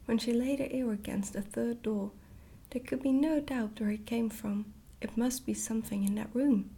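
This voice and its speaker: A woman gently reading a storybook aloud in a hushed, whispered ASMR style. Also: a little high, fairly even intonation, moderate pace, slightly rough. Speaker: storybook reader, ASMR creator.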